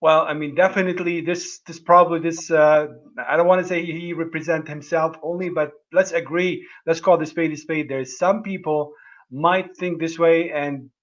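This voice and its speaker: A man hosting a livestream talk, his voice sitting a little high for a man. He speaks in halting bursts, restarting phrases as he hunts for the right words. The stream audio is dull and lo-fi. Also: steady tone, fairly bright tone. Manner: halting, many restarts while searching for words. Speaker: host. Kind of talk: livestream conversation.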